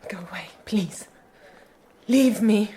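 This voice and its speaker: An actress playing a guarded, resolute Victorian gentlewoman in an audio drama, formal, restrained and direct. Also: mid-range voice, slow, slight background noise, lightly acted. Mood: alarmed.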